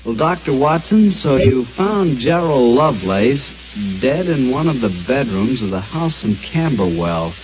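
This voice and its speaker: A male radio announcer speaking in a measured, smooth scripted delivery. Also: mid-range voice, sing-song. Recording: muffled old radio broadcast, faint hiss.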